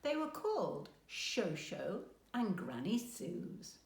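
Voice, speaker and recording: Slow, expressive woman reading a children's story. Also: fairly low pitch, gravelly, echoey room. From England. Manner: expressive, addressing a small child. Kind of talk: read-aloud children's storytelling.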